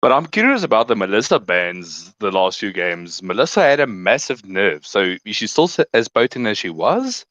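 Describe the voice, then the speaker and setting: A man co-casting an esports match with relaxed, curious remarks. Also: lowish voice, moderate pace, few pauses, no echo, muffled recording. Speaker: esports co-caster. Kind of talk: casual esports commentary.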